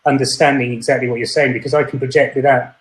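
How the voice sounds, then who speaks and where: A man's voice: medium pitch, flat delivery, quick pace, slight background noise. A man hosting a podcast in casual chat, asking follow-up questions and trying the exercises out. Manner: inquisitive, asks follow-up questions, tries exercises aloud. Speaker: podcast host. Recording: echoey room.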